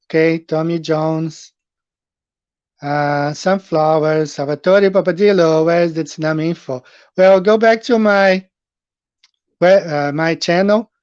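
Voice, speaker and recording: A man's voice: a little high, steady tone, unhurried, darkish tone, smooth, no echo, dull audio. Middle-aged Brazilian man, rambling stream host. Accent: Brazilian Portuguese. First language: Portuguese. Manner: rambling, with frequent fillers and questions to viewers. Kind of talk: casual live stream chat with viewers. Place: home, in Japan.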